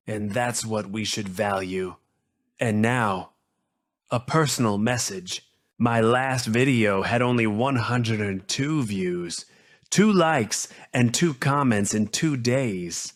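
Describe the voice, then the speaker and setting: A male narrator delivers a scripted documentary essay at an unhurried, slow pace. His mid-pitched voice is earnest and dramatic, setting out short rhetorical phrases with weight. The recording is close and dry, with no room sound. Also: no room sound. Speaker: narrator and host of a video essay channel.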